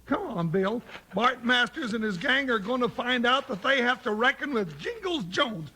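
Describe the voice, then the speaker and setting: A male radio actor playing an excitable comic cowboy sidekick who complains in a folksy, blustery way. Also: extremely high pitch, moderate pace, breathy edge, full character voice. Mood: indignant, blustery. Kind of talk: 1950s western radio acting. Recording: noisy vintage 1950s radio recording.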